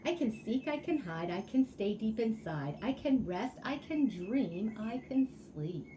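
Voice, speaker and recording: An excitable young boy hermit crab from a children's storybook , acted out by a woman reading aloud. The delivery is sing-song and earnest, swinging widely in pitch at an unhurried pace, with faint noise behind. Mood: delighted, proud.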